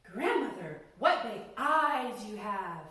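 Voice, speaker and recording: A woman's voice: medium pitch, big pitch swings, takes it slowly, bright, forceful tone, heavy reverb, distant mic, voice pushed down, heavily acted. A woman voicing a sweet, innocent little village girl from a fairy tale, eager and wide-eyed.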